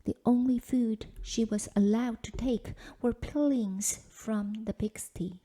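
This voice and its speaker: A woman narrates a children's folktale from a book. Her voice sits fairly high, with a soft, very mellow tone, and she reads expressively as a storyteller. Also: slow. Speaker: storybook reader. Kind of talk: expressive scripted read-aloud of a children's folktale.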